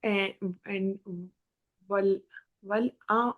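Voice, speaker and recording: A woman student reading and translating in a lesson, tentative and halting, repeating words as she goes. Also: medium pitch, steady tone, phone-quality sound.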